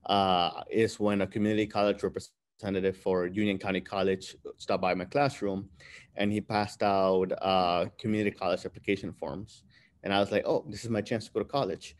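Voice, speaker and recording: A man in his thirties, born in Peru and raised in New Jersey, telling his life story as an interview guest on a video call, relaxed and filler-heavy. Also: low-pitched, a little fast. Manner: relaxed, many fillers, laughs between phrases. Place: room with bright window behind. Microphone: webcam on video call.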